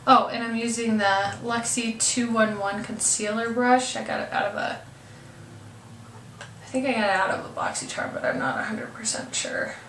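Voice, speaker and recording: A woman's voice: fairly high pitch, steady tone, normal speed, a bit bright. Stuffed-up young woman, roomy home video. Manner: chatty, hesitant with many ums, apologetic. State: stuffed up, congested. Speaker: beauty vlogger. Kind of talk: casual makeup tutorial. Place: room at home. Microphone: camera mic from a distance. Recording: roomy, distant camera audio with faint hiss.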